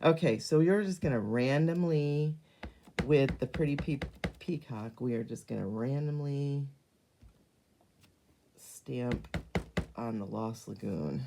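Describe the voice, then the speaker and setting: A hoarse middle-aged woman chatting casually through a live card-making demonstration, filling pauses with ums and greeting viewers as they join. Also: a bass voice, noisy background.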